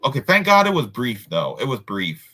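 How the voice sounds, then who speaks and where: A man's voice: mid-range voice, wildly swooping pitch, normal speed, pushed and bright. A man reading a book aloud with casual asides, sometimes stumbling over words and repeating them. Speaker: host of a group read-aloud.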